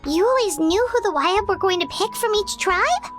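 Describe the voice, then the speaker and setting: A woman voicing a small, childlike, curious and excitable companion character in a video game. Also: very high voice, moderate pace, music in the background. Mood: curious, surprised. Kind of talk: English video game voice acting.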